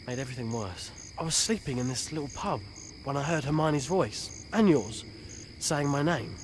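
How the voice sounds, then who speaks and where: A man's voice: mid-range voice, background noise, close to an everyday voice. A man voicing a loyal, blunt British teenage wizard boy in a video game, speaking earnestly and colloquially. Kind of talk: video game dialogue acting.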